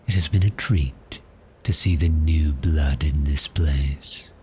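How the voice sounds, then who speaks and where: A man's voice: very deep voice, mellow, a little hiss or hum, heavily acted. A man playing a suave, predatory vampire in an ASMR roleplay, teasing and seductive with cheerful menace, his phrases trailing into breathiness. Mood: teasing, amused. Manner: slow, deliberate, seductive, taunting. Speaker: audio roleplay performer.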